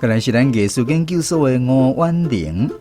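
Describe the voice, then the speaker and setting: A man with a Taiwanese accent hosts a radio language segment. His voice sits at a middling pitch. Soft music plays underneath as he guides the quiz. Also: expressive, normal speed. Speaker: radio show host and quizmaster. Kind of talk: hosting a language lesson segment.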